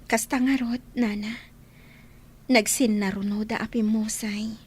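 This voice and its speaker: A woman's voice: on the high side, steady tone, measured pace, darkish tone, breathy edge. A woman playing a meek, polite young orphaned housemaid in an audio drama. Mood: subdued, polite. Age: young adult. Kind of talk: audio drama acting in dialogue.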